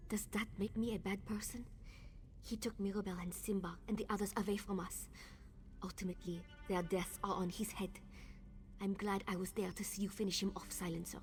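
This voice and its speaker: An actress playing an earnest, grieving assassin in a fantasy video game, speaking in a hushed, confiding way. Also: female voice, mid-range voice, level tone, brisk, soft background music, close to an everyday voice. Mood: subdued, relieved.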